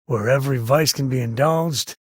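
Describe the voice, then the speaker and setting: A man acting as a rugged frontier space ranger from a video game, very husky and rough-edged, recorded close and dry. He talks in a folksy, sardonic way, weary but loyal, like someone who has seen plenty and says it plainly. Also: mid-range voice, normal speed, no room sound, close to an everyday voice. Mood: wry, easygoing. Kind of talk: video game character dialogue.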